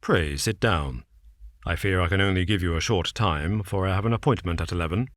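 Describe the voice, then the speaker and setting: A man voicing a shrewd detective who speaks slowly and deliberately, dry and understated. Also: dry recording, close to an everyday voice. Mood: measured. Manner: slow, deliberate, low and understated. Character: cool, shrewd male detective, measured and dry.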